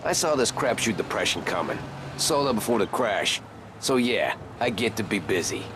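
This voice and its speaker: A man's voice: lowish voice, expressive, normal speed, noisy background, close to an everyday voice. An actor playing a smug, impatient businessman passenger in a game cutscene, brusque and condescending. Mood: smug, lecturing. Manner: brusque, pompous.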